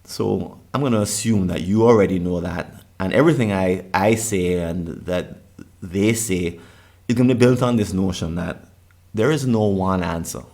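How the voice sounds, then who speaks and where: A man's voice: deep voice, moderate pace, vocal fry, a little mellow. A middle-aged man with a Jamaican accent, earnestly presenting a summit session and often restarting his phrases. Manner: earnest, with frequent restarts and repeated words.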